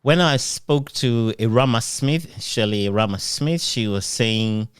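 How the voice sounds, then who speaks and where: A man with a Ghanaian accent interviewing in a casual, reminiscing way, expressive and given to chuckling between phrases. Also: mid-range voice, a little slow, clean tone, no room sound, studio quality.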